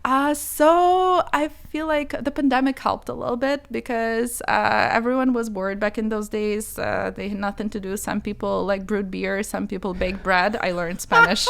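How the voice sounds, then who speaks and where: Ukrainian-accented woman, smooth voice. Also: medium pitch, fairly even intonation, normal speed, hardly any pauses, a bit bright. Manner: chatty, many "like" fillers, laughs often. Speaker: immigration lawyer guest. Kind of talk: casual interview chat.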